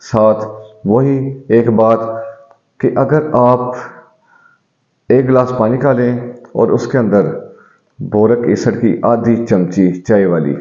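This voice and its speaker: A man who is a homeopathic doctor, calmly explaining home eye remedies to his viewers and addressing them as friends. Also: medium pitch, slow. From Pakistan. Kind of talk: health advice video to viewers. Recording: dull, echoey room recording.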